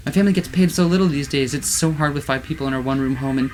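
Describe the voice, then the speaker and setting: Guy doing a crying young flower girl, shaky and pleading, way up high, story read-aloud. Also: racing, slight background noise, lightly acted, rough recording. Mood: wavering. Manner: wavering, sobbing, pleading. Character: tearful young woman, poor flower seller.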